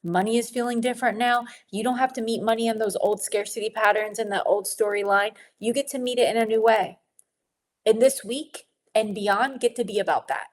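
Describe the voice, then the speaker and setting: A woman coaching a women's group on their relationship with money in a gentle, encouraging teaching talk, sniffling a little and laughing often. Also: fairly high pitch, steady tone, fairly quick.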